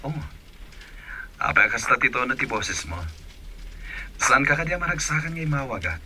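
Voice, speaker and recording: An actor with a Filipino accent playing a caring, earnest young boyfriend in a radio drama. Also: male voice, mid-range voice, very animated, moderate pace, pushed and bright, a little hiss or hum. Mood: caring, attentive.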